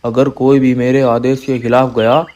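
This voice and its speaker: A man playing a menacing, powerful usurper dinosaur in an animated animal story, giving commands in a threatening way. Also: mid-range voice, moderate pace, dull audio, close to an everyday voice.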